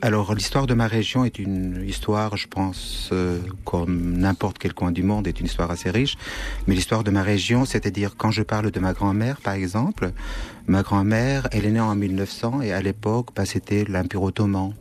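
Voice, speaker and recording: Soft-spoken Syrian man. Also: on the low side, fairly even intonation, moderate pace. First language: Kurdish. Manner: hesitant, many restarts and fillers. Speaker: guest writer. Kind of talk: radio interview.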